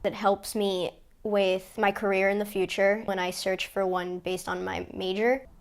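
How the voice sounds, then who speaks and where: A woman's voice: medium pitch, level tone, measured pace. An eighteen-year-old woman, a music therapy student, speaking sincerely in a documentary interview. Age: young adult.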